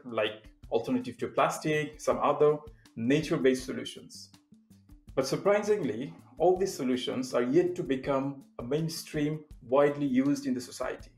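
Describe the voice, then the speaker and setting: A man's voice: medium pitch, a little mellow. Young man from Bangladesh, roomy remote talk. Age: young adult. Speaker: sustainability consultant. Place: indoor room with plain wall.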